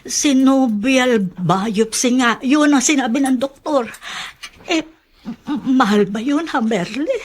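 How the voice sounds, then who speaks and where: Radio drama grandmother: Filipino, poor and devoted, high voice, anxious and flustered. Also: expressive, heavily acted. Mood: flustered, apologetic. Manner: flustered, halting, pleading.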